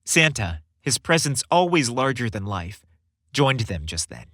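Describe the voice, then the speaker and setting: A male narrator delivers scripted storybook prose with dramatic flair. His mid-pitched voice moves in lively swings, and the recording is dry with no room sound. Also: unhurried, no echo.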